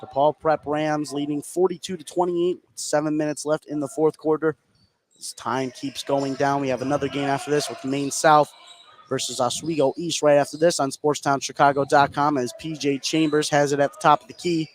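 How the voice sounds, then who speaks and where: A man doing energetic play-by-play radio commentary on basketball, following each pass in clipped phrases. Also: a little high, brisk. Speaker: play-by-play sports announcer. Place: indoor gymnasium at a live game. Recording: clear gym noise behind the voice.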